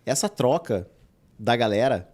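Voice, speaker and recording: A man's voice: mid-range voice, animated, darkish tone. A man hosting a podcast, talking enthusiastically and turning to the listeners. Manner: quick, enthusiastic, addresses listeners. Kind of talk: casual podcast chat.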